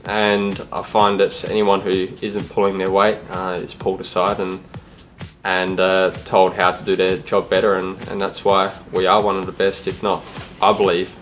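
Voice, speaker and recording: A man with an Australian accent, a professional cyclist giving an interview in a flat, deadpan way. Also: deep voice.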